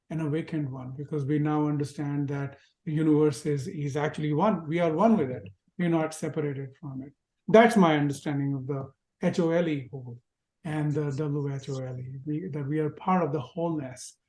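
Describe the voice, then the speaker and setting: A man with an Indian accent giving a reflective spiritual lecture to an online class, asking gentle rhetorical questions. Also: fairly high pitch. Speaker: teacher. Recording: roomy echo.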